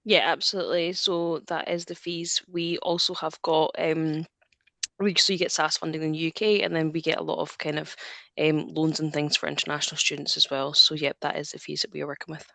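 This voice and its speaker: A woman admissions adviser answering applicant questions in a webinar, brisk and reassuring. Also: low voice, level tone, gravelly, a bit bright, no echo. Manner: brisk and reassuring, says absolutely often.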